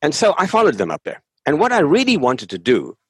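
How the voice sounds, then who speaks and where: An older man with a South African accent and a husky voice, telling stories conversationally in an interview, emphatic and often repeating words as he goes. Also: a little high, wildly swooping pitch, a little fast, a bit bright, no room sound, clean studio sound. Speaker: interview guest, author. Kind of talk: conversational storytelling.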